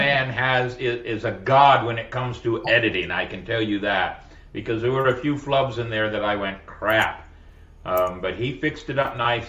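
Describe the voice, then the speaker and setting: A man's voice: mid-range voice, fairly bright tone. A man hosts a casual podcast chat, rambling and correcting himself as he jokes along. He sits well back from the mic in an echoing room. The sound is dull and lo-fi. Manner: rambling, self-correcting, jokey. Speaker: podcast host. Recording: roomy, distant, dull lo-fi sound.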